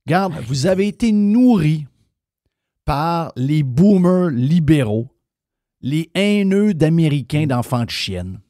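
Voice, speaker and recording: A man's voice: on the high side, sing-song, takes it slowly, dark tone, no room sound, studio quality. A man with a Québécois French accent hosting a radio talk show, ranting bluntly and swearing freely. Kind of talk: opinionated radio rant.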